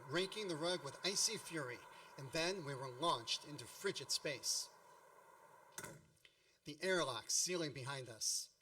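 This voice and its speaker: A man's voice: fairly high pitch, sharp tone, distant mic, slight background noise, low-quality recording. An actor playing a grown man wryly recalling his boyhood, narrating a radio play in a mock-epic manner.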